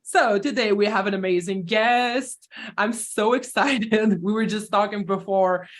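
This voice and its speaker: A woman host of medium pitch opens a casual interview. She sounds bubbly and upbeat as she introduces her guest. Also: a little slow. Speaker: podcast host.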